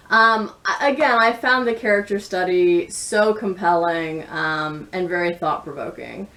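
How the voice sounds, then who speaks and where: A woman giving a casual, reflective book chat, speaking in a measured, bookish way. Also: mid-range voice, distant mic. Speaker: book reviewer.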